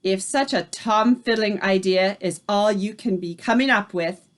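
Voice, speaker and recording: Woman reading aloud, shrieking tyrant witch, foreign-sounding broken grammar, high, loud, swooping. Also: very animated, takes it slowly, full character voice. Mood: enraged. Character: tiny furious female Grand High Witch leader.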